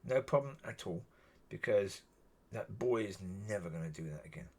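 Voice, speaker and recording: Husky, gravelly man musing aloud. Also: on the low side, expressive, normal speed, a little mellow. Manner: musing, repeats yeah often. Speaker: solo video talker. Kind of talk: rambling solo monologue.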